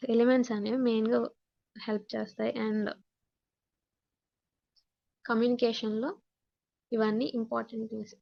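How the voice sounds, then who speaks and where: Female teacher, Telugu accent, fairly high pitch, explanatory lecture delivery mixing in English, dull low-fidelity class recording. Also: creaky. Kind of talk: online class lecture, reading points in English then explaining. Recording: dull, muffled lo-fi audio.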